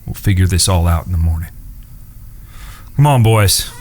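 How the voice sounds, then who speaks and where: An actor playing a loyal, hot-tempered outlaw brother in a western audio drama, blunt and forceful. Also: male voice, low voice, expressive, moderate pace, slight background noise. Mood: steady, reassuring.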